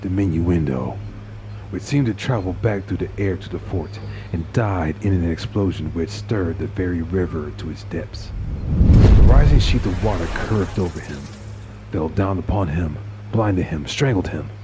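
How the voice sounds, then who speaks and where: A man's voice: deep voice, expressive, moderate pace, loud music behind the voice. A man reading a suspenseful story as narrator, in a dramatic scripted style.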